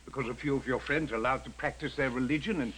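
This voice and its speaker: A man's voice: medium pitch, fast talker, fairly bright tone, slight background noise, close to an everyday voice, poor audio. An actor playing a sensible, kindly husband trying to keep his temper, plain-spoken, in period radio drama style. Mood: exasperated patience.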